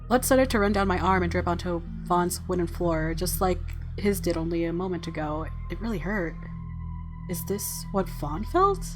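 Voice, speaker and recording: A woman hosting a gaming video, reading visual novel narration expressively and adding casual commentary. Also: on the low side. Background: game music.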